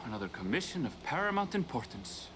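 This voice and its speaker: An actor voicing a wary man in a video game who senses doom approaching, speaking in a hushed, brooding way. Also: medium pitch, sing-song, quick pace, a little hiss or hum, low-quality recording. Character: uneasy adult man sensing looming doom.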